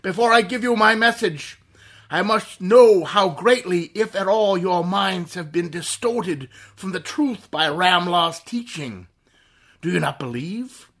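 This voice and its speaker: A man's voice: high-pitched, lively intonation, measured pace, a bit bright, full character voice. A man intoning as an eerie invisible avenger. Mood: ominous. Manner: theatrical, intoning, mocking. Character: invisible, mysterious male avenger speaking as a disembodied voice. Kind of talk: 1930s radio drama reenactment.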